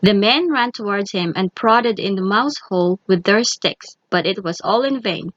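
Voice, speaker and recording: A woman narrates a children's tale in a mid-pitched voice with lots of melodic movement. The sound is dry and close, a touch lo-fi. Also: expressive, normal speed, a bit bright, no room sound. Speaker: storyteller. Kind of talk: scripted children's story narration.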